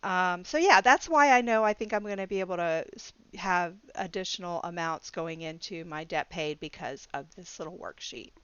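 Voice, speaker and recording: A woman's voice: on the low side, normal speed, few pauses, a bit bright, clear, resonant tone, dry recording, muffled recording. A middle-aged woman vlogging casually about her budget, rambling a little with frequent ums and restarts and laughing easily. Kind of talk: casual talk to camera.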